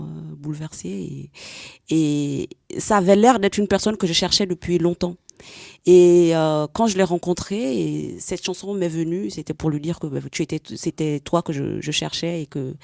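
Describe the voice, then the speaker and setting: Give me a young woman from Gabon with a low, gravelly voice, calm on a radio show. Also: no echo, studio quality. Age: young adult. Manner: calm, measured, occasional fillers. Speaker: guest singer. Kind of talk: radio interview. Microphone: studio microphone.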